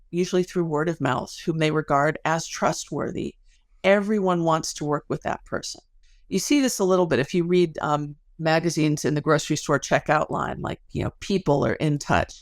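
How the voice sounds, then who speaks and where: A woman's voice: low-pitched, no room sound, clean studio sound. A woman speaking as an academic guest in an interview, explaining research conversationally with everyday examples.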